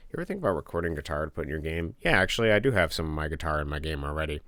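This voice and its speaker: A man's voice: a bass voice, racing, no room sound. A man streaming from his home office, chatting casually with viewers and sniffling a little from a cold. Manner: relaxed, rambling, reads chat aloud and answers. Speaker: game developer streamer. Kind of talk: casual livestream chat.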